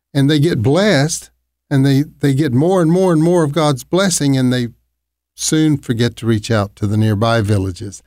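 An earnest man tells how his ministry got started, often pausing and restarting his sentences. His voice sits at a middle pitch. The recording is dry and close. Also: a little mellow, no echo. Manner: earnest, halting, with fillers and restarts. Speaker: ministry leader, co-host. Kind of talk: sharing a ministry's origin story.

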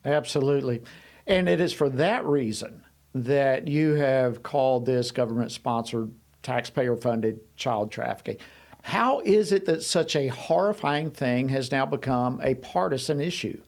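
Male news host, brisk, a mid-pitched voice. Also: expressive, a little mellow. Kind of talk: broadcast interview hosting.

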